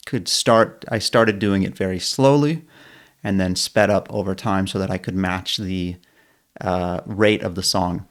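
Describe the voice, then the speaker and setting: A man speaks in a somewhat low voice, laying out a practice method one step at a time. His delivery is methodical and explanatory, like a coach teaching an online lesson. He sometimes restarts a phrase to get it right. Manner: methodical, explains step by step with brief self-corrections. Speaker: learning coach.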